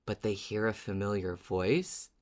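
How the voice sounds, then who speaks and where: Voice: low voice, measured pace. A podcast co-host chatting casually in a fan recap, effusive and dramatic, sometimes feeling out a thought mid-sentence.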